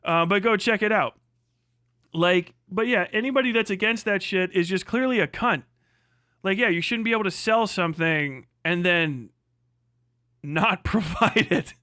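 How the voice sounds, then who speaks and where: High-pitched American man ranting bluntly. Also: moderate pace, pushed and bright, no room sound, studio quality. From United States. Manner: blunt, irreverent, emphatic. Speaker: livestream host. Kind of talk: casual, profane opinion rant.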